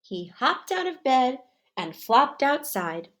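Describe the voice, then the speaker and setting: A woman's voice: high-pitched, very animated, slow. A woman reading a children's storybook aloud expressively, in an instructive manner for young listeners. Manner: asks listeners simple questions, instructive.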